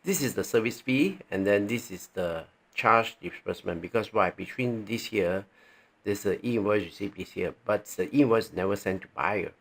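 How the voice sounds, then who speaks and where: A man with a Malaysian accent presents an informal, step-by-step tutorial. His voice sits fairly low and has a pronounced creak. He thinks aloud in a halting way and keeps seeking confirmation as he explains. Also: fairly bright tone. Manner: halting, thinking aloud, frequently checking with "right". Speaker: tax training presenter. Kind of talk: informal tutorial walking through on-screen examples.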